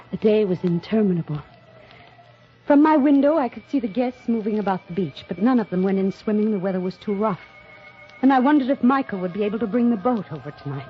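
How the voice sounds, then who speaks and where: A poised actress as a woman novelist in an old radio thriller, secretive and tense beneath her composure. Her voice sits at an average pitch, on a dull, aged recording with soft music behind it. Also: close to an everyday voice. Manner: guarded in dialogue, suspenseful in narration. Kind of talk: 1950s radio drama acting.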